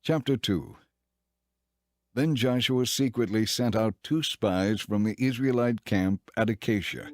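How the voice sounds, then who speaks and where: Low-voiced solemn male scripture narrator. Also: a little slow, a little mellow, no room sound. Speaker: audio Bible narrator.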